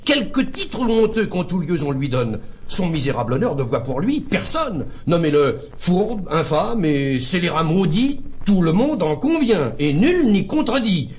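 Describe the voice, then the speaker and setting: Vehement, indignant misanthrope, swinging pitch. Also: male voice, big pitch swings, a little slow, hardly any pauses, a little mellow. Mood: indignant, scornful. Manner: declamatory, vehement, emphatic. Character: indignant, uncompromising man who hates all humankind. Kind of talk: classical French verse stage acting.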